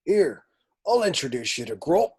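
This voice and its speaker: A man playing a gruff, soft-hearted half-giant gamekeeper in a script read, folksy and protective. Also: a little high, big pitch swings, normal speed, exaggerated voice. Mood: fond, coaxing. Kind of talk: table read of a film script.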